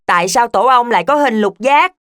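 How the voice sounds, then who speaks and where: Young cartoon child, acted by a woman: polite, sensible, high-voiced. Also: lively intonation, fairly quick, over loud music, lightly acted. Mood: curious, upbeat.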